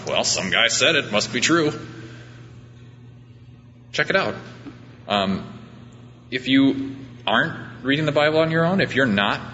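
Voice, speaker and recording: Deep-voiced man preaching in a reverberant hall. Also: sharp tone. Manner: conversational, self-deprecating. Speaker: pastor preaching at a church. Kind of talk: informal sermon. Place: large reverberant church room during a service. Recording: reverberant hall, muffled, background hum.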